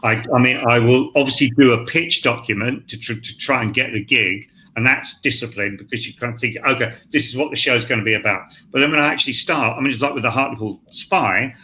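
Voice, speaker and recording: A man's voice: mid-range voice, a little fast, few pauses, poor audio. A male scriptwriter on an online panel, talking candidly and self-deprecatingly, swearing and acting out his thoughts. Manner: animated, swears, acts out his own thoughts. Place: online panel, home set-up. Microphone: video call.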